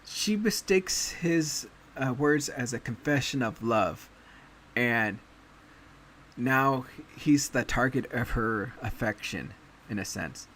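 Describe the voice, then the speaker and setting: A man hosting a casual anime chat, talking in a relaxed way with many fillers and pauses. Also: medium pitch, slight background noise. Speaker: podcast host.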